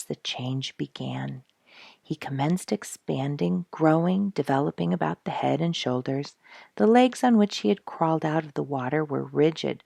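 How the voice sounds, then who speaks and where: A woman reading a scripted storybook narration aloud in an expressive, deliberate storytelling manner. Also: low-pitched, creaky, dry recording, clean studio sound.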